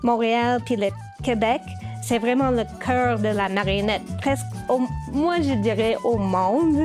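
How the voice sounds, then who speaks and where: Woman talking french, slow and halting, lots of ums, kinda high voice, faint music behind. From United States (Baltimore, Maryland). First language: English. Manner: hesitant, many filler sounds and restarts. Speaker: puppeteer. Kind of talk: interview answers. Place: her puppet workshop.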